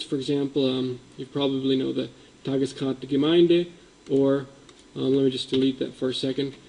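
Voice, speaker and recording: A man's voice: mid-range voice, gravelly, mellow, low-quality recording. A man who grew up in Indonesia, giving a conference presentation in a meeting room, explaining with emphasis and frequent ums.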